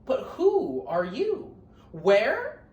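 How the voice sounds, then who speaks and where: A gentle, protective storybook elephant, voiced by a woman, earnest and emphatic. The pitch rises and falls widely. The sound is echoey and set back from the microphone. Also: wildly swooping pitch, very slow, highs cut off, heavily acted. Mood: concerned. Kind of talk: children's picture-book read-aloud. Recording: roomy echo, distant microphone.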